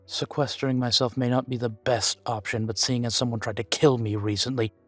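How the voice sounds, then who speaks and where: A man playing a guarded, world-weary vampire informant in an audio roleplay, speaking in formal, measured phrases, proud yet fond. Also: mid-range voice, fairly even intonation, natural voice. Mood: reluctant, conceding. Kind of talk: scripted audio roleplay to the listener. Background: background music.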